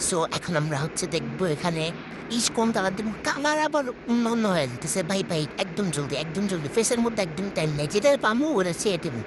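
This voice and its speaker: A woman's voice: mid-range voice, very animated, brisk, background noise. A woman with a Bangladeshi accent giving casual, exclamatory gaming commentary through a voice changer, talking to herself and repeating words. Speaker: gaming video host.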